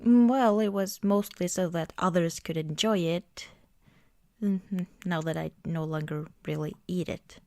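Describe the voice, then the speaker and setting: A woman doing a soft-spoken ASMR girlfriend roleplay, gentle and often slipping toward a whisper, close to the microphone. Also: on the low side, unhurried, dry recording. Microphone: close microphone, dry sound.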